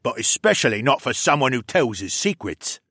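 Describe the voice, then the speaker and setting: A man's voice: medium pitch, big pitch swings, moderate pace, a bit bright, breathy edge, dry recording, clean studio sound, full character voice. A man voicing a hardened, sneering captured soldier who speaks with scornful, snapping contempt in an audiobook story. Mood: grim.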